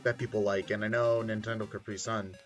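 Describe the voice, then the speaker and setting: A man's voice: lowish voice, level tone, brisk. A man chatting casually as a commentator over video game footage. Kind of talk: casual chat over gameplay. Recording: game music behind the voice.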